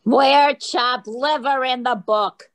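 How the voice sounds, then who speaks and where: Woman as high-voiced, grumbling skeptical relative. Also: slow pace, vocal fry, full character voice. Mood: exasperated, sarcastic. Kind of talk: reader's theatre comedy.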